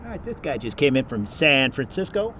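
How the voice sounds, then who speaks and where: A man's voice: mid-range voice, very animated, brisk. A man giving casual, off-the-cuff commentary as a plane-spotting vlogger, outdoors. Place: outdoors near an airport runway. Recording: outdoor recording with faint traffic noise. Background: faint vehicle and outdoor ambience.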